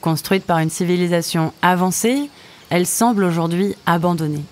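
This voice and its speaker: A woman's voice: lowish voice, normal speed. A woman narrating a scripted science-fiction audio story.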